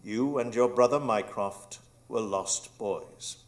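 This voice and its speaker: A grandiose, scheming old pirate villain. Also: male voice, on the low side, slow pace. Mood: gloating. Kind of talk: live radio drama acting.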